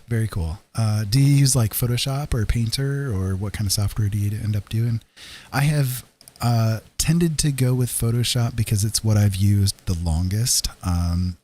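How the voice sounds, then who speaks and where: Male streamer, low and very mellow, husky texture, casual late-night chat kept soft. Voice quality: deep, husky. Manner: soft-spoken, holding his voice down so as not to wake someone. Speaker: livestreaming digital artist, former radio talk show host. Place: room at home. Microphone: podcast microphone through a mixer, at a desk.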